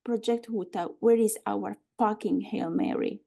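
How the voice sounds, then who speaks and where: A woman acting a frantic, sharp-tongued woman in a live screenplay table read, halting and repeating herself under stress. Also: mid-range voice, creaky. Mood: exasperated, tense.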